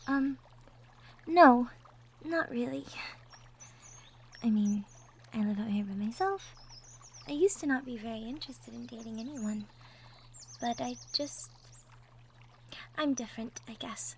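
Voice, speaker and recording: Woman doing a shy sweet woodland girlfriend for an audio roleplay, soft hesitant high voice, bit of noise and birdsong behind. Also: unhurried, pauses often. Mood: shy, wistful. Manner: hesitant, bashful, soft-spoken, trailing off. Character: shy, sweet cottagecore young woman secretly in love. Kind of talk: roleplay audio drama, intimate one-sided conversation. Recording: faint background noise, birdsong effects. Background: birdsong, outdoor nature sound effects.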